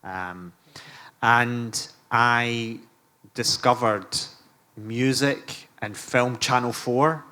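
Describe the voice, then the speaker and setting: A man's voice: mid-range voice, steady tone, drawn-out words, bright, forceful tone. A middle-aged man recalling his experiences in a conversational public talk. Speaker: panel speaker, film lecturer. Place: live event room at a museum. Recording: live room with faint background noise.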